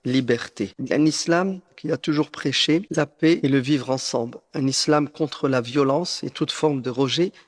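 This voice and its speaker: This is a man. His voice sits at a middle pitch. He delivers a prepared statement formally and deliberately, with a solemn bearing throughout. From northern France. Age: late 50s. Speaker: preacher making a personal statement.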